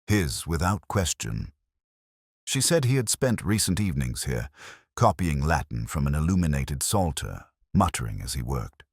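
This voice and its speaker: A man with an extremely deep voice narrates a detective story in the first person. His delivery is measured and reflective, with a literary turn and lively movement in pitch. The sound is dry, with very little room around it. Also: slightly rough, no echo.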